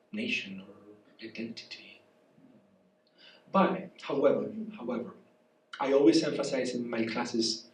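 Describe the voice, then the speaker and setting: Congested Spanish-accented man, soft voice. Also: mid-range voice, slight background noise. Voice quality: soft, weak voice. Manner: halting, searching for words, apologetic. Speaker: guest professor. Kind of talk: public conversation, reflective lecture talk. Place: café. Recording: echoey room from far off.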